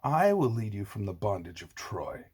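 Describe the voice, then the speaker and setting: A man voicing a bitter, scornful nobleman in an audiobook, speaking forcefully and mockingly in a husky voice. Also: on the low side, very animated, moderate pace. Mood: mocking, accusing. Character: bitter, scornful, wealthy half-Trojan man.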